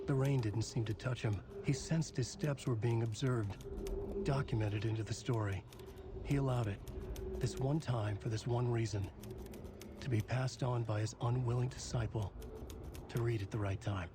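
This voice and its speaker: An actor playing a brooding writer who narrates a dark thriller in a video game, measured and solemn. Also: male voice, mid-range voice, close to an everyday voice. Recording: clear ambient noise behind narration.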